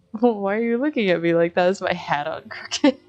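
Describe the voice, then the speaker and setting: A woman's voice: mid-range voice, lively intonation, normal speed, darkish tone, dull audio. An American woman chatting casually as she hosts a game livestream, with a giggly, slangy, informal manner. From Michigan, United States. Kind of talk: casual livestream chat while gaming.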